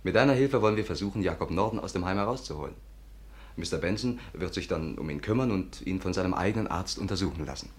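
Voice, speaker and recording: An actor in a 1950s German radio drama playing a breezy, self-assured sleuth, brisk and coaxing, on an old recording. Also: male voice, low voice, animated. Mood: brisk persuasive.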